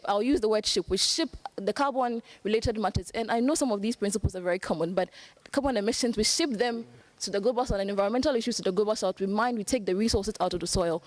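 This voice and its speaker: A woman's voice: medium pitch, quick pace, dry recording. A young woman from Africa speaking as a panelist, earnest and emphatic, repeating phrases for stress. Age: young adult. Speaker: youth panelist. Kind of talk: panel discussion remarks.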